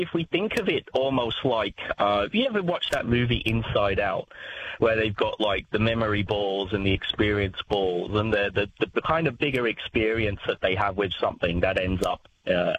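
A man's voice: lowish voice, a bit bright, muffled recording, a little hiss or hum. A man, a language expert speaking on a talkback radio show, explaining with many fillers and restarts.

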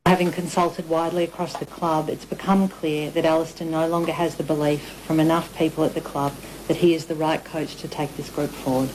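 A woman club president delivers a firm, formal statement. Her voice is low, with faint ambient noise behind. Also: flat delivery, fairly quick, hardly any pauses, darkish tone, low-quality recording. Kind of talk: press statement.

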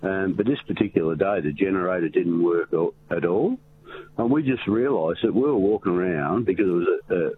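Loud deep-voiced man on a phone line. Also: a little fast. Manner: loud, rambling, restarts often. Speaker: caller. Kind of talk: telling a story on a call-in show. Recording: tinny phone line with faint hiss.

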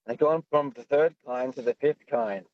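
A man's voice: mid-range voice, moderate pace, dry recording. A man calling a radio show by phone, chatting casually in a camp, familiar, teasing manner. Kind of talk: casual chat. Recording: dull phone call.

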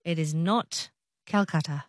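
A woman's voice: deep voice, unhurried, no room sound, clean studio sound. A middle-aged woman hosting a casual radio quiz, chatty and friendly, laughing often as she teases callers.

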